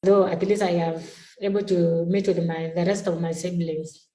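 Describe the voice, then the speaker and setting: A woman's voice: on the low side, fairly even intonation, normal speed, mellow. A young woman from South Sudan answering interview questions in plain, simple phrasing. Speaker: athlete guest. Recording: echoey room.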